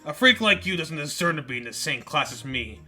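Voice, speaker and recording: A man voicing a loud, aggressive rich-kid school bully who sneers at everyone, with faint music behind. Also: a little high, lively intonation, fairly quick. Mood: sneering.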